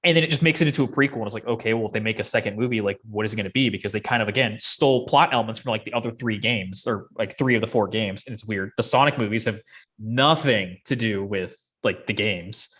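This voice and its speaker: A man's voice: fairly low pitch, fast talker, a bit bright, slightly rough. A man co-hosting a podcast, chatting casually about entertainment with joking, sardonic asides. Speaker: podcast co-host. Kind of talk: casual movie discussion.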